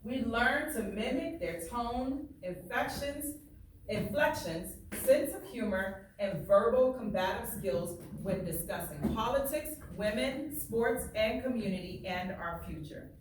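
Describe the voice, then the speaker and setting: A woman's voice: a little high, expressive, unhurried. A woman teacher reading a book aloud, stopping to explain passages plainly and check that listeners understand. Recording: very echoey room, distant, faint noise.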